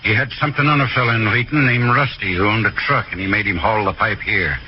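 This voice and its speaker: A man's voice: on the low side, fairly even intonation, fast talker, lightly acted. An actor playing a shifty working-class father, defensive and colloquial, in a 1940s radio drama style. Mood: threatening. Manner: colloquial, evasive. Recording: muffled old radio recording, faint noise.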